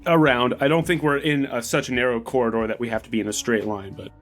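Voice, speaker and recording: A man chats through his moves as a player at a tabletop game. His voice sits at a middle pitch, with music running behind it. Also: fairly even intonation, fast. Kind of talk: casual game-table talk. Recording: music under the voice. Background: background music.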